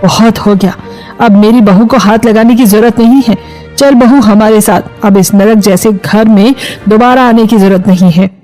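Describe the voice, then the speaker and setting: A woman's voice: medium pitch, normal speed, dark tone, soft background music, close to an everyday voice. A woman playing a firm, protective middle-aged mother-in-law in a Hindi moral story, speaking emphatically.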